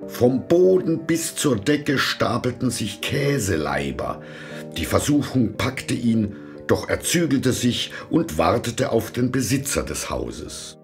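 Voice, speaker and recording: A man tells a scripted fairy tale as its narrator. He is very unhurried and deliberate, with a wide, expressive pitch range, and music plays behind him.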